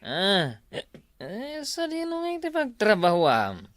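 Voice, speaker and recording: Actor as lazy, tippling Ilocano husband in comedy drama, slow hesitant drawl, swinging intonation. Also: male voice, high voice, huge pitch swings, fairly bright tone, dry recording, heavily acted. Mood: lazy, evasive. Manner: drawling, hesitant, full of hums. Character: work-shy, drinking village husband.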